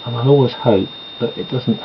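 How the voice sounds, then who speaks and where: Rambling man, gravelly voice. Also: medium pitch, moderate pace. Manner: chatty, meandering, self-deprecating asides. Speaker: vlogger doing makeup. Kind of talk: rambling casual chat. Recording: dull lo-fi home recording with noise.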